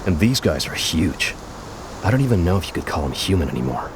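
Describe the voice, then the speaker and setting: A man's voice: sits low, big pitch swings, fairly quick, background noise. An actor playing an earnest former policeman turned committed resistance fighter in a video game cutscene. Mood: earnest, weary.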